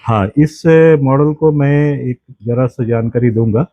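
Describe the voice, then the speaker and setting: A man with an Indian accent giving an unscripted product demonstration, explaining in a halting way and often repeating or correcting himself. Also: medium pitch, warm tone, no room sound. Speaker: presenter of a radio hobby channel.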